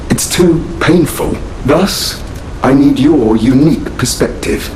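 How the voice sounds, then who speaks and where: An actor playing a refined, lovelorn puppet manservant in a video game, earnest, deliberate and formally gentlemanly. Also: male voice. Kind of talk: scripted video game dialogue. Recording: roomy echo, faint rumbling noise.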